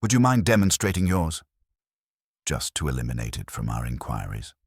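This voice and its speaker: A man's voice: a bass voice, very animated, moderate pace, slightly rough, no room sound, studio quality, exaggerated voice. A man voicing a courteous, methodical police inspector in a mystery story, considerate and casually probing. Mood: polite probing.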